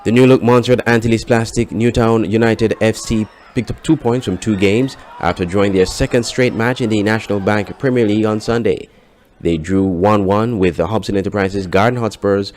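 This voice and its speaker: A Caribbean man works as a newsreader, narrating a sports report from a script. His voice sits on the low side for a man. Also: a little mellow.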